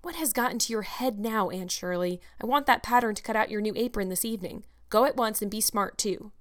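A woman voicing a stern, practical middle-aged guardian in a storybook, with a brisk, dry, scolding manner. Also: medium pitch, close to an everyday voice.

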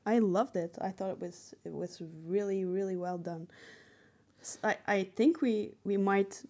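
A woman's voice: medium pitch, fairly even intonation, unhurried, a little mellow. A woman talking casually as a podcast co-host in a conversational discussion.

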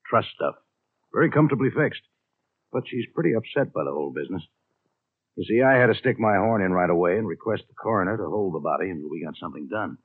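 A dry, tinny old radio recording of an efficient American claims man. His low voice moves expressively as he talks in a plain, businesslike, conversational way. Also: lively intonation, fast talker, slightly rough, no echo. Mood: businesslike, concerned. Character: efficient, businesslike male insurance claims manager. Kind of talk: 1950s American radio drama acting.

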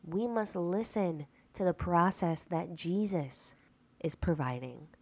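A woman with a low-pitched voice hosts a preaching-style podcast while a little sick. She talks earnestly and emphatically and keeps turning to the listener with rhetorical asides. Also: expressive, slow, a little mellow, husky. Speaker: podcast host.

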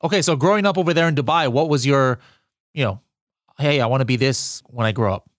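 A man's voice: medium pitch, brisk, no echo. Upbeat male podcast host, dry sound. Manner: upbeat, jokey, with frequent fillers. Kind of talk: casual interview chat.

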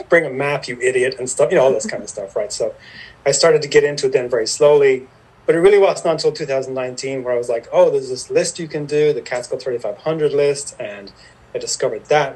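A man's voice: mid-range voice, darkish tone, breathy edge, low-quality recording. A man, telling stories as a podcast guest in a rambling, self-deprecating way. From Ireland. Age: 50s. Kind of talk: casual storytelling interview.

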